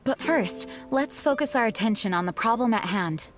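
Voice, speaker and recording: A woman's voice: a little high, animated, moderate pace, close to an everyday voice. A woman voicing a composed, caring young knight commander in a video game. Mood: composed, focused. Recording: clear music behind the voice.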